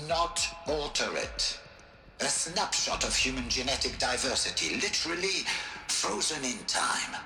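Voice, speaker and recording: An actor playing the male director of a genome preservation program, speaking in a measured, formal way. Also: mid-range voice. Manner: measured, formal, deliberate speech with weighty pauses. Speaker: program director giving a briefing. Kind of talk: game cutscene voice acting. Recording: roomy audio with music behind.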